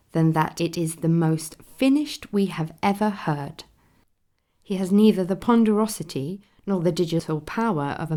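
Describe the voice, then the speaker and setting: An actress as a low-voiced, formal Victorian critic. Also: female voice, mellow, natural voice. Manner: formal, deliberate. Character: Victorian newspaper critic reading an admiring, formal concert review. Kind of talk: dramatised reading of a period newspaper review.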